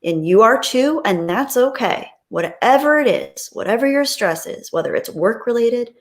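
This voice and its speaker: Woman streamer just chatting, reading comments out loud, gushing thanks, kinda playful. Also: on the high side, lively intonation, normal speed. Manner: gushing, appreciative. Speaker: livestream host. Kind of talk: casual chat, reading out viewer comments.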